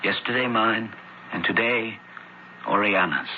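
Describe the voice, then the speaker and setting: A man's voice: fairly low pitch, a little slow, close to an everyday voice. Devout, concerned young Roman, male actor, formal earnest speech, muffled radio play with faint noise. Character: devout, worried young Roman brother studying priesthood. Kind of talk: radio drama acting.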